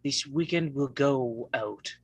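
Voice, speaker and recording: A man playing a calm, controlled young mafia boss in a script read, halting and stuttering heavily on consonants. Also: medium pitch, vocal fry, slightly rough, no echo, exaggerated voice. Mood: placating. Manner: halting, deliberate. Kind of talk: live table read of a crime film script.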